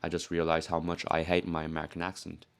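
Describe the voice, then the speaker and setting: A young man from South Korea, speaking in a put-on British-leaning accent. His voice sits low and gravelly with a steady, flat delivery. He narrates a lifting vlog candidly, joking at his own expense and cursing now and then. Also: quick pace. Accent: put-on British-leaning English accent. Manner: candid and self-mocking, swears casually. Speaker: powerlifting vlogger. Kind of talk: casual voice-over narration.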